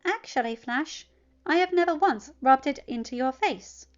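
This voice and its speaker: Woman as a high-voiced, deadpan teenage boy. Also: moderate pace, muffled recording. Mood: deadpan defiance. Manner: neutral, deadpan. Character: earnest, polite teenage boy, quietly defiant.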